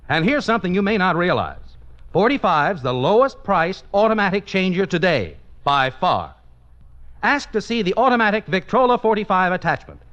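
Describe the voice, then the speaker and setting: A male announcer reads scripted copy in old-time radio style. His voice is high, bright and highly animated, swinging widely in pitch. It comes through the dull band of a 1950s broadcast. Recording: muffled vintage radio broadcast.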